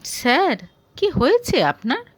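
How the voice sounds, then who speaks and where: A woman voicing a gentle, concerned young female office employee who speaks respectfully and soothingly in an audio story. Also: high-pitched, huge pitch swings, unhurried, dry recording, voice pushed up, full character voice.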